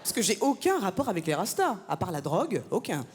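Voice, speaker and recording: A woman's voice: mid-range voice, sing-song, brisk, background noise. A woman from France performing a stand-up comedy set on a theatre stage, teasing the crowd with punchy banter. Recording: live gala, long hall reverb.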